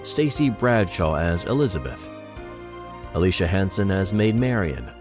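A man's voice: sits low, big pitch swings, unhurried. A man reading scripted closing credits as an announcer. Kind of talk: scripted narration. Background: background music.

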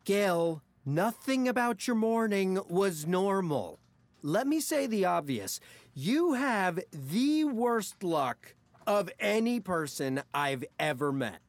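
A man's voice: sits high, sing-song, slow pace, dry recording, exaggerated voice. Theatrical, swooping, sardonic ad man. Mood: incredulous. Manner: sardonic, melodramatic, profane. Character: cynical, theatrical, jaded ad man and needling best friend. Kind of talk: comedic audio drama dialogue.

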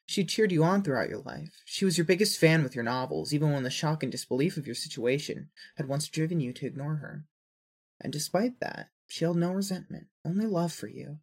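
A narrator reading a scripted second-person story with a dramatic, expressive delivery. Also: medium pitch, husky.

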